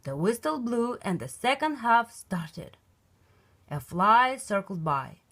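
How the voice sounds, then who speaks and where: Slow, very expressive woman storyteller. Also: a little high. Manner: expressive, emphatic. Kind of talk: animated children's picture book read-aloud.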